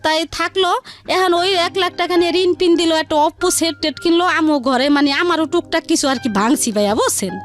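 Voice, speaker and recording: A woman's voice: very high-pitched, brisk. A woman with a colloquial Bangladeshi Bengali accent telling her personal story as a radio interview guest, in a rambling, repetitive way. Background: music.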